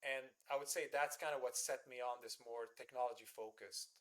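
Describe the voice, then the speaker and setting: A man's voice: medium pitch, steady tone, brisk. A man in his thirties from Lebanon, an engineer guest telling his story in an interview, earnest and reflective with frequent fillers.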